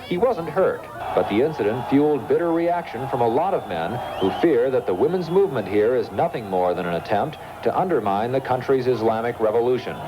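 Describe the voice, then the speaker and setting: A man's voice: medium pitch, animated, brisk, hardly any pauses, background noise. An American man narrating a scripted news report as a foreign correspondent.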